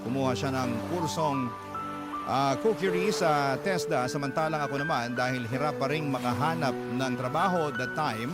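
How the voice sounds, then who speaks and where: A man reading his own life story aloud as a letter to a radio host, steady and confessional. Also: mid-range voice. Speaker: letter-sender narrator. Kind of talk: scripted storytelling narration. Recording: narration over loud background music.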